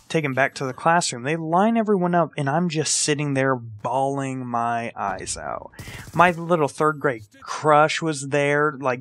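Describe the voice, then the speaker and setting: A young man casually telling a personal story as a gaming commentary, acting out quotes and swearing freely. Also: medium pitch. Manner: animated retelling with acted-out quotes and casual swearing. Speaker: storyteller and gaming video creator. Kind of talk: casual storytelling over gameplay.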